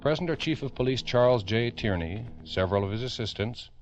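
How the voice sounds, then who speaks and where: A man with an American accent narrating a 1950s radio true-crime drama as host, in a grave, emphatic, deliberate way. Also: medium pitch, animated, soft background music. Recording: dull old radio recording.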